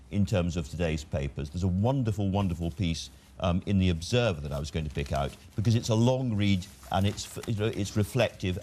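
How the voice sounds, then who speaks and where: A man with a very low voice hosts a television discussion of the day's papers. He moves things along briskly and hands the talk from one guest to the next. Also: dark tone. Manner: brisk, steering the conversation. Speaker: television host. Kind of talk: casual studio discussion. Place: television studio.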